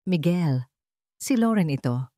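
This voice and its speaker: A woman voicing a frightened, pleading woman in hushed, trembling audiobook dialogue. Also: low-pitched, lively intonation, a little slow, dry recording, studio quality.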